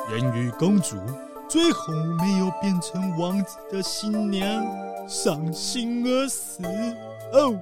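Loud rich seal boss, hammy, laughs a lot, takes his time, music under him. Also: medium pitch. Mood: spooky. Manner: hammy, laughs a lot, drops English words. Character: boisterous rich seal cruise tycoon.

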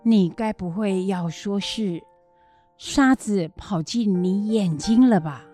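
Woman doing an old taiwanese mandarin granny, slow and mellow, radio play, caring and self-sacrificing. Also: medium pitch, soft background music, natural voice. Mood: consoling. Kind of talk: educational radio drama acting.

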